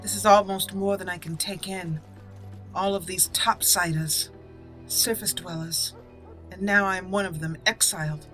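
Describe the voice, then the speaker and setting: A woman roleplaying a proud, blunt elf mage noble in a fantasy game, speaking formally and deliberately. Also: on the low side, steady tone, a bit bright, faint music behind, natural voice. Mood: overwhelmed. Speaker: host of a gaming channel. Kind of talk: improvised in-character game roleplay narration.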